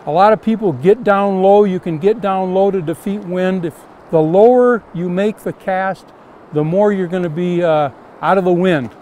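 Older male instructor with a high voice, outdoors in a river on a lapel mic, faint background hum, repeating simple casting steps. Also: normal speed, darkish tone. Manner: plain, repetitive step-by-step instruction. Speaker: fly fishing instructor.

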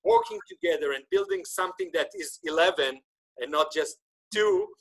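A man in his thirties whose first language is Hebrew, hosting a podcast over a video call, talking with animated gestures and frequent restarts. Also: fairly high pitch, moderate pace, low-quality recording. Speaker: podcast host. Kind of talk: casual interview chat. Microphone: video call webcam.

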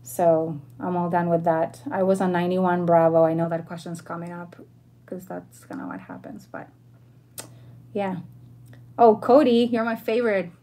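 A woman's voice: lowish voice, steady tone, normal speed, warm tone. A woman in her thirties from Argentina hosting a casual livestream, chatting informally and in a friendly way with her viewers. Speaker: livestream host. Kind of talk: casual livestream chat, answering viewer questions. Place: bedroom at home, indoors.

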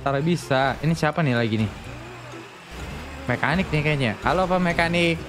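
A gaming streamer chattering playfully and excitably in a live roleplay game, often repeating phrases. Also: medium pitch, moderate pace. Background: music.

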